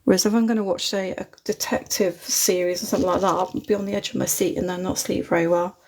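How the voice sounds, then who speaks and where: Chatty British woman with a gravelly voice. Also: lowish voice, level tone, fast, a little mellow. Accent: British, not London. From Britain. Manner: chatty, rambling, chuckles often. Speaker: craft livestream host. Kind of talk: casual chat while crafting live. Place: indoors at a craft desk. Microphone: phone mounted overhead.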